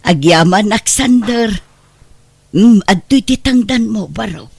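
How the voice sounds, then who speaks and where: A woman's voice: mid-range voice, animated, takes it slowly, gravelly, a little mellow, slightly rough, heavily acted. An actress playing a caring, outspoken neighbour woman in a radio drama, concerned and ready to scold. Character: caring, outspoken older neighbour woman who employs the boy. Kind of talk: Ilocano radio drama acting.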